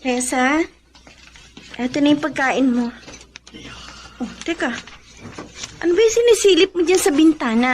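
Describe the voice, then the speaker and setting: A woman acting in a radio drama as a kind, helpful young older sister, gentle and encouraging. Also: high voice, unhurried, pauses often, slight background noise. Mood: caring.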